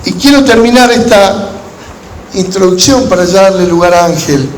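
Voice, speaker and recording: A man with an Argentine Spanish accent preaching as a pastor, telling stories in measured, deliberate phrases with pauses. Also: high-pitched. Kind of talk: sermon to a gathering of pastors, with storytelling. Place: large room at a church conference. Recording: echoey hall with background noise.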